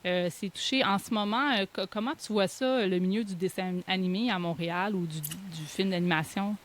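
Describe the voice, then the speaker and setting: A woman's voice: mid-range voice, normal speed. Québécois woman interviewer, mid-range voice. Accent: Quebec French. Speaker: interviewer, host. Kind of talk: casual conversational interview.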